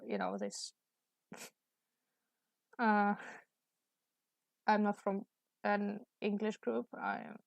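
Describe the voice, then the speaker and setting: A young woman with a Russian accent talks in an unhurried, halting way, full of "uh"s and false starts. Her voice sits at a middling pitch, and the recording is close and dry with no room sound. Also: vocal fry, no echo. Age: young adult. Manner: hesitant, many fillers and restarts. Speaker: student. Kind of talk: casual chat while drawing.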